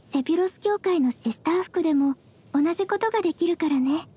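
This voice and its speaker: A woman playing a composed, quietly teasing young female traveler in an anime game, speaking politely and matter-of-factly. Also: extremely high pitch, expressive, brisk, slight background noise.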